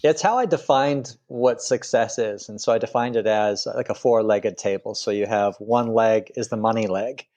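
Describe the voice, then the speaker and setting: Man from Canada, middle-aged, lowish pitch, relaxed, rambling, restarts phrases, video call. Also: moderate pace, few pauses. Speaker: guest author. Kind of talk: interview conversation.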